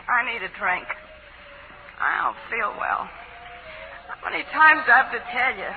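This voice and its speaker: A needy blonde moll in a 1940s radio drama, boozy and plaintive. Her pitch moves in lively swoops. The sound is narrow and phone-like with clear noise. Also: moderate pace. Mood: defiant. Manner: plaintive, pleading. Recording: noisy, tinny 1940s radio broadcast.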